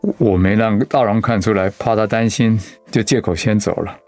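Guy acting sick husband in a radio drama, lowish voice, gentle n stoic, bit hesitant, soft music under. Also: normal speed, natural voice. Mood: hesitant, apologetic. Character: gentle, stoic ailing husband, former sailor.

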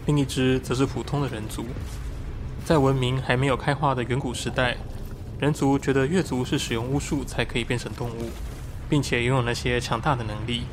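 A man performs an earnest, excitable young man of a shapeshifting tribe in an audio drama. His voice sits in a middle register. He explains things eagerly and expressively. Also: mid-range voice, background noise, close to an everyday voice. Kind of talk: Chinese audio drama acting.

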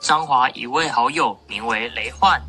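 A young man serves as narrator of a radio play, reading a scripted tale in a medium-pitched voice. Music is clearly audible underneath him. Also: moderate pace.

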